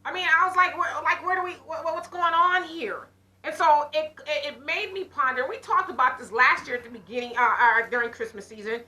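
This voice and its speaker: Woman, high bright voice, casual chat, animated storyteller, talk show co-host. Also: a little fast. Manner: animated storyteller who acts out her own side of conversations. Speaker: podcast co-host.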